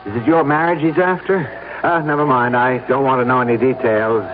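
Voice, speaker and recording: An actor playing a bitter, scheming blackmail victim who insistently talks others toward murder in a 1970s radio drama. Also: male voice, medium pitch, fairly even intonation, brisk, close to an everyday voice. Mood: bitter, confiding. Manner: insistent, persuasive. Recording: noisy, tinny old radio broadcast.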